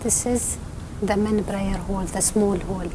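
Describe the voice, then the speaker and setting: A woman guiding a tour through a large prayer hall, speaking haltingly with pauses and fillers. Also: medium pitch, steady tone, noisy background. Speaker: mosque tour guide. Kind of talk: guided tour talk.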